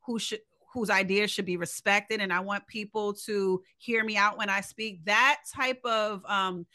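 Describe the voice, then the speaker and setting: A woman's voice: mid-range voice, bright, forceful tone. A woman co-hosting a podcast, talking earnestly and emphatically in a reflective conversational monologue, often posing rhetorical questions. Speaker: podcast co-host.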